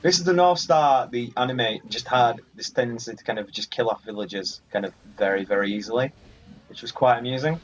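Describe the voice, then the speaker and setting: A man's voice: mid-range voice, a little fast, slight background noise. A man giving casual, rambling let's play commentary on a video game. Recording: muffled sound, game music behind. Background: video game music.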